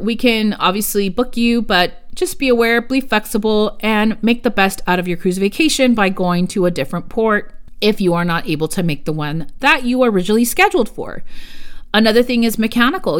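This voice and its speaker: A woman's voice: mid-range voice, clear, resonant tone. A woman hosting her own travel podcast, talking casually and directly to listeners, often saying "you guys" and drifting into side stories. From United States. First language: Spanish. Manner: chatty, addresses listeners as "you guys", digresses. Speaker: podcast host and travel agent. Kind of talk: casual solo podcast talk.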